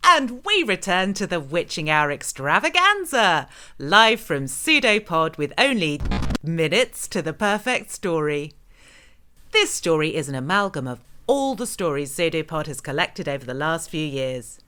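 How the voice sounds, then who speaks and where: A woman's voice: deep voice, sing-song, a little slow, noisy background. A woman host giving scripted, theatrical narration between stories.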